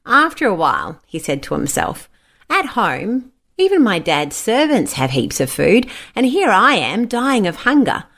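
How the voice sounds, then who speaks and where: A woman reads a Bible story for children. Her mid-pitched voice moves in big, animated swings, especially when she voices what the characters say. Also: unhurried. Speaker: storyteller, a mother. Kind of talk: expressive scripted children's Bible story narration.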